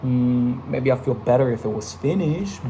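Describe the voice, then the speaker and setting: A man's voice: mid-range voice, expressive, moderate pace, warm tone, a little hiss or hum. A young man giving a casual, reflective personal update from his home studio, with many fillers and restarts. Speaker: vlogger and musician.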